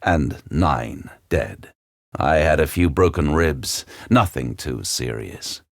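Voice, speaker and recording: Grave noir-ish detective narration for audio drama, slow n gravelly, pitch moves around a lot. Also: male voice, animated, no room sound, studio quality, full character voice. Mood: grave, somber. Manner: grave, terse. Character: grave, world-weary male detective narrating his case. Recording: music behind the voice.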